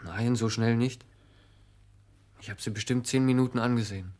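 A man acts out a young village postman in a radio play, hopelessly in love and naive. His middle-pitched voice is earnest and simple, always pleading for help from someone wiser. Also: fairly even intonation, normal speed, darkish tone, natural voice. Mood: shy, earnest. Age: young adult. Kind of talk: German radio play acting.

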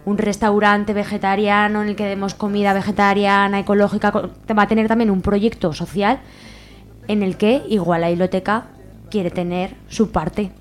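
A woman's voice: mid-range voice, level tone, normal speed. A woman with an accent from Spain talking casually and spontaneously in a radio chat as a library volunteer. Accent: Spanish, from Spain.

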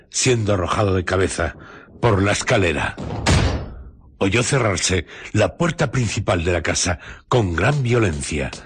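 A man narrating a suspense tale for Spanish radio theatre, with solemn, measured and dramatic phrasing. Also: low voice, a bit bright, exaggerated voice. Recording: noisy radio drama recording with clicks.

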